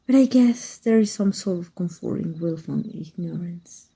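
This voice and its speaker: A woman playing an exiled evil magic teacher in an ASMR roleplay, wry and fond, speaking softly and intimately with a husky, breathy voice. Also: fairly low pitch. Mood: wry, guarded. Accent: Mexican. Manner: wry, unhurried, intimate, addressing a former student.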